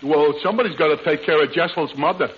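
Man doing a dopey, high-voiced stooge. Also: fast, close to an everyday voice. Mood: dim, earnest. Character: dopey, slow-witted male stooge comedian. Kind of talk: 1940s radio comedy acting. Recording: muffled old radio recording.

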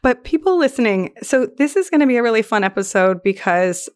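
A woman's voice: on the high side, expressive, brisk. A woman in her thirties hosting a podcast, with a scratchy voice from laryngitis, chatting and laughing often. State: hoarse from laryngitis. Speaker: podcast host. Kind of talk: casual chat.

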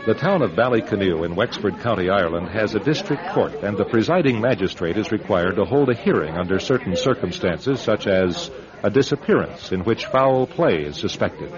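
A man hosting and narrating a radio drama from a script, dramatic and deliberate. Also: lowish voice, animated, a crowd in the background. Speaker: host and narrator. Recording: dull, lo-fi radio broadcast. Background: music.

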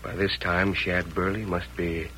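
An actor playing a laconic, unhurried western townsman with a folksy drawl in an old radio drama. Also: sits low, level tone, sharp tone. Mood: grave, laconic. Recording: slightly noisy old radio recording.

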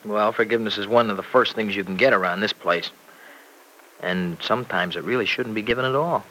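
Blunt, bright-voiced young American guy. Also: lowish voice, brisk. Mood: exasperated. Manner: blunt, defensive, colloquial. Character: blunt, loyal young American truck-driving man. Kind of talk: old-time radio serial acting. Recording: noisy old radio broadcast recording.

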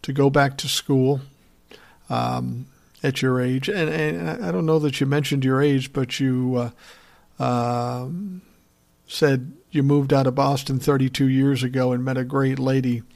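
A man's voice: mid-range voice, fairly even intonation, measured pace, creaky, darkish tone. A man hosting a political podcast, giving blunt, profane opinion commentary and answering listener messages in a conversational way.

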